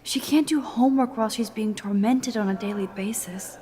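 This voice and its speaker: An actress playing a shy, caring young student in a video game, in a naturalistic style. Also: female voice, fairly high pitch, fairly quick, dark tone, natural voice. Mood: worried, earnest. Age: young adult. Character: earnest young female student, quietly caring, a bit shy.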